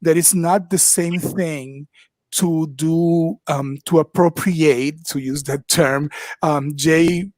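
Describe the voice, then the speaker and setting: An older man from the Republic, speaking thoughtfully as a panelist on a video call from his kitchen, with many pauses and ums. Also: a little high, darkish tone, no echo. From Dominican Republic. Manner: thoughtful, frequent ums, measured. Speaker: panelist, minister of worship arts. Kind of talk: reflective panel talk. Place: home kitchen. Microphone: headset on video call.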